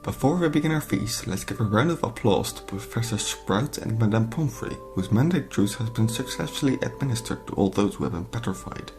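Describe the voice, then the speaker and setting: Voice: medium pitch, lively intonation, fairly quick, few pauses, a little mellow, close to an everyday voice. An actor playing a kindly, dignified old male headmaster in an audio play, making formal announcements over faint music. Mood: ceremonious.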